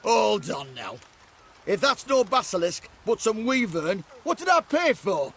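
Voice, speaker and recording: An actor playing an indignant, cheated townsman in a fantasy game, loudly protesting. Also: high voice, sing-song, measured pace, a little hiss or hum, heavily acted. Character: indignant male townsman cheated of his coin. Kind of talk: scripted fantasy game acting.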